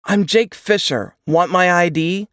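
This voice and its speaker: A woman's voice: on the low side, unhurried, no echo, studio quality, lightly acted. A woman voicing a brawny young soldier, an insistent and earnest man protective of a baby, in an audiobook. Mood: urgent, defensive. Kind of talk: audiobook character dialogue.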